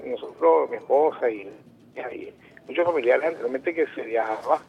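Venezuelan guy calling in, gravelly voice, dull phone sound. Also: mid-range voice, moderate pace, slight background noise. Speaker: caller. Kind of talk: phone call to radio show.

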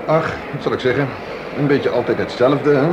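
An actor playing a sour, fussy, disdainful music teacher, pompous and unimpressed, in a radio drama. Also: male voice, mid-range voice, normal speed, a crowd in the background, background noise, lightly acted. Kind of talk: naturalistic Dutch radio drama acting.

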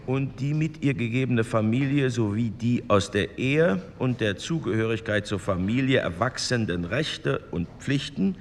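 A man's voice: mid-range voice, lively intonation, normal speed, hardly any pauses, lightly acted. A man playing an orderly committee chairman, speaking in a formal, procedural way. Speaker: actor in a documentary radio play. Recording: echoey hall with background noise.

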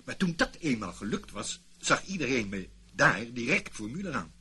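A man's voice: medium pitch, very animated, moderate pace, sharp tone, husky, full character voice. A man playing an evasive, self-justifying British ex-army officer in a Dutch radio play, sometimes boastful, sometimes hesitant and defensive. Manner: boastful storytelling, hesitant and defensive when challenged. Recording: noisy old radio play recording.

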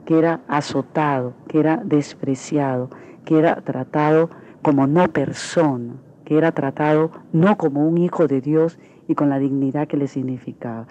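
A woman's voice: low-pitched, warm tone, highs cut off, a little hiss or hum. A woman giving reflective expert commentary, often checking with small tag questions. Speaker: theology professor, guest expert. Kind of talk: unscripted explanatory commentary.

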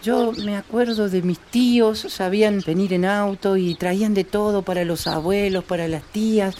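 A man's voice: high voice, fairly even intonation, normal speed, darkish tone, slight background noise, lightly acted. A man with a northern Argentine accent playing a restless young country man in a radio play who dreams of city progress. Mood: earnest, wistful.

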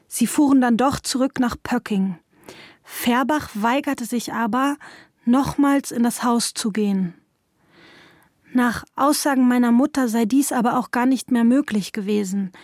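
A sober daughter played by an actress reads out her testimony in a fairly high female voice. Her delivery is formal, precise and factual, in the manner of a radio documentary, and the sound is dry and close. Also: a little mellow, no echo, lightly acted. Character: adult daughter giving a sober witness statement. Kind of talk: scripted documentary reading of testimony.

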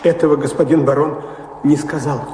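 Actor as obsequious household servant, halting and hesitant; radio-play style, long reverb, noisy recording. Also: male voice, fairly high pitch, fairly even intonation, natural voice. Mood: deferential, uneasy. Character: deferential, hesitant male servant of a noble house. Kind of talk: audio play stage acting.

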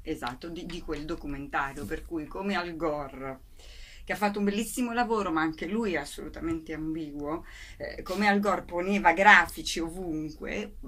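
Low-voiced woman in casual radio talk. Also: moderate pace, a bit bright. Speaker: theatre company member, radio guest.

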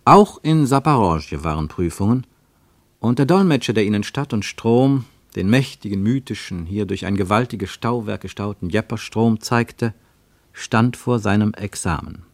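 Male narrator, lowish voice, measured descriptive radio drama reading, dry studio. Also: expressive, no room sound. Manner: measured, descriptive, building long lists.

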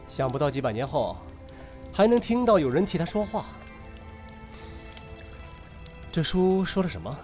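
A man's voice: on the high side, darkish tone. A man playing a roguish, teasing night traveller in a Chinese period audio drama, witty and nonchalant. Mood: amused, softened. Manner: teasing, playful, theatrical. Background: music.

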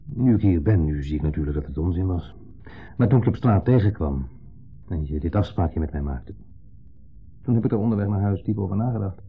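Muffled phone-like old radio drama, brooding middle-aged ex-husband, low-pitched, wordy, pointedly polite. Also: male voice, lively intonation, fairly quick, long pauses, slightly rough, heavily acted. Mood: pensive. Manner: wordy, hesitant, formally polite with pointed undertone. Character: wistful, brooding ex-husband, a long-winded dinner guest. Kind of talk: 1970s Dutch radio play acting.

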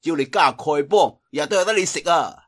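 Mocking young wuxia beggar as a male storyteller plays him, Cantonese, taunting, animated and slightly high. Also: fast. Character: sneering young beggar-gang member, mocking.

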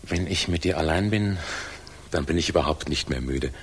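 An actor playing a weary, devoted German fighter pilot in a 1960s radio drama, with a husky, breathy voice. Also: male voice, extremely low pitch, normal speed, a little hiss or hum. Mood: tired, tender.

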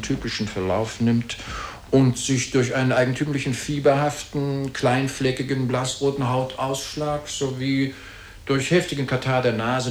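An actor playing a halting, pedantic medical expert who recites facts in a radio play, with many hesitations. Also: male voice, mid-range voice, slight background noise, natural voice. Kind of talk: lecture-like radio play monologue.

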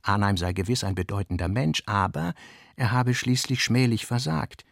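Gravelly male narrator, dry studio sound. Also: fairly low pitch, moderate pace, dry recording. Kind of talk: scripted literary narration.

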